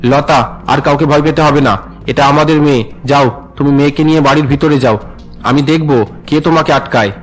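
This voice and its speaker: A man playing an earnest, fast-talking young husband. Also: on the high side, fairly even intonation, faint music behind, natural voice, rough recording. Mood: protective. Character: protective, devoted young husband and adoptive father. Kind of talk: Bengali cartoon melodrama dubbing.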